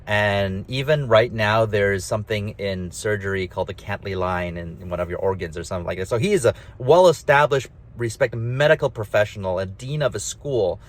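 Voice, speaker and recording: A man's voice: lowish voice, brisk, few pauses. A man hosting a solo talk, rambling casually with a nasal, slightly mumbly voice, lots of fillers and emphatic asides. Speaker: host.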